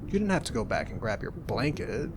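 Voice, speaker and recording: Young guy doing soft teasing asmr roommate talk, mid voice, noisy rumbly background. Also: very animated, a little fast. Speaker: ASMR roleplay narrator. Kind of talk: ASMR boyfriend roleplay.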